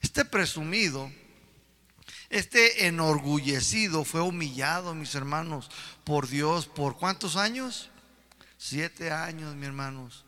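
A male preacher delivers a sermon in an echoing hall. His voice sits high and is extremely bright and forceful. He speaks emphatically, often putting questions to his listeners. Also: slow. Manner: emphatic, addresses listeners with repeated rhetorical questions. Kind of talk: sermon to a congregation. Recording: reverberant hall.

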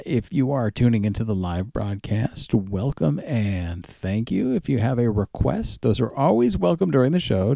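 A man hosting a music radio show, casually presenting tracks in an easygoing, conversational way with a gravelly voice. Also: mid-range voice, dark tone, no echo. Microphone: close studio microphone with headphones.

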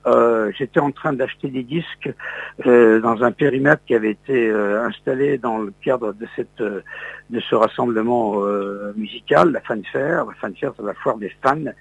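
Older radio host, man, husky voice, halting speech full of fillers, slightly dull sound. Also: mid-range voice. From Lorraine, France. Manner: hesitant, many fillers and restarts. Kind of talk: interview, reminiscing.

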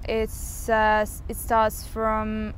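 Slow, halting woman, a coloratura soprano singer. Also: a little high, completely flat delivery, a little hiss or hum. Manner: halting, many fillers, searching for words. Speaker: interview guest, singer. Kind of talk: casual interview answers.

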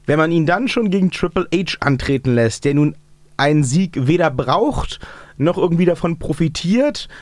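A man hosts a podcast, trading dry, teasing remarks in mock-formal banter. His voice sits a little high for a man. The recording is dry and close, with no room sound. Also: expressive, moderate pace, dry recording. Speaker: podcast host. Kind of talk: casual chat.

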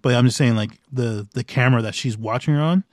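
A man co-hosting a casual chat, jumping in with quick asides and remarks. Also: medium pitch, darkish tone, no room sound, clean studio sound. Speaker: podcast co-host.

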